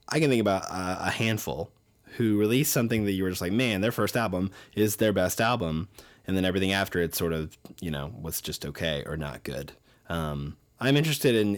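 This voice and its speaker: A young man hosting a casual podcast. He talks in a rambling, self-deprecating way with many fillers, and his voice is low because he is recovering from an illness. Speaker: podcast host.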